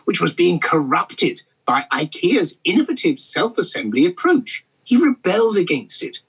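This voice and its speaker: A man playing an eccentric half-Swedish furniture professor, speaking in a husky, theatrical way as a guest on a comedy podcast. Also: high voice, very animated, moderate pace, a bit bright, exaggerated voice, low-quality recording. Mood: pompous. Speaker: guest expert.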